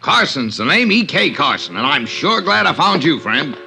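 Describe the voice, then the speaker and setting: An actor playing a folksy, pushy, lonesome American traveling salesman with a raspy voice in an old-time radio drama. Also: a little high, very animated, normal speed, pushed and bright, a little hiss or hum, full character voice. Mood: hearty, chummy. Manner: folksy, over-familiar.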